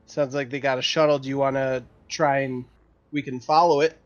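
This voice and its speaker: A man's voice: mid-range voice, fairly even intonation, normal speed. A man chatting casually as a player in a tabletop roleplaying game, on a dull lo-fi recording. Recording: dull lo-fi voice line. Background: background music.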